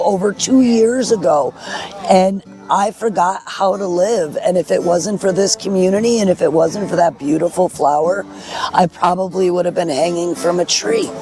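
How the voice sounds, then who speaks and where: Female interview guest, middle-aged, hoarse, candid and earnest, music in the background. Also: mid-range voice, mellow. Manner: frank, earnest. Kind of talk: candid personal testimony. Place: at a festival in a park.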